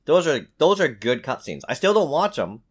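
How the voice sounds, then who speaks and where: A man's voice: fairly high pitch, very animated, moderate pace, slightly rough. A man co-hosting a podcast, arguing casually and insistently, repeating himself for emphasis and swearing freely. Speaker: podcast co-host. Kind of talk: casual argumentative podcast chat.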